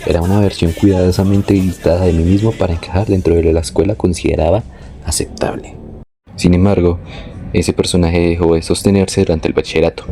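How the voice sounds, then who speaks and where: Young guy narrating his life story, really low soft-edged voice, reflective, quiet music under it. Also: flat delivery. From born in Venezuela, moved to Colombia. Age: young adult. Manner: reflective, measured, literary. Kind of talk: scripted autobiographical narration.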